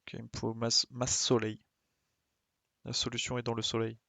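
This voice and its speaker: A man's voice: mid-range voice, completely flat delivery, moderate pace, gravelly, bright, forceful tone, no room sound. A man doing casual, colloquial gaming commentary, thinking aloud and muttering to himself as he plays. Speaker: streamer playing a video game.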